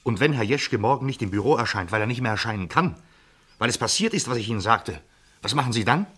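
A man's voice: medium pitch, animated, fast, a bit bright, breathy edge. An actor playing an earnest man who presses insistently for help in a German radio drama. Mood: pleading, pressing.

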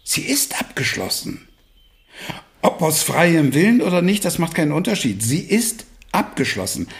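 An actor in a German radio drama playing a stout, blunt old father who urges deliberately, with pointed pauses. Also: fairly high pitch, very animated. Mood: insistent, reproachful. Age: older.

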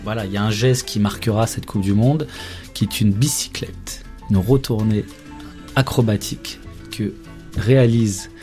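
A man with a slightly low, soft-edged, mellow voice talks spontaneously as a radio guest. He is colloquial and hesitant, circling back over his words, with faint music behind him. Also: steady tone, moderate pace. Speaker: interview guest, journalist who researched football history.

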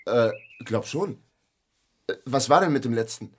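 A young boyfriend with something to hide in a radio drama. He talks in a medium-pitched voice, naturalistic and hesitant. His manner is evasive, flippant and needling. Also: expressive, measured pace. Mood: flippant, uneasy.

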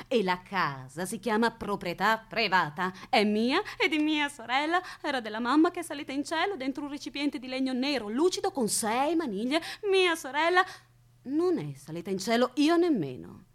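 A woman's voice: high voice, very animated, moderate pace. An actress playing an eccentric, dotty unmarried woman who keeps a captive, speaking with childlike certainty and coy formal politeness in a radio play. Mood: dreamy. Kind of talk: theatrical radio drama acting.

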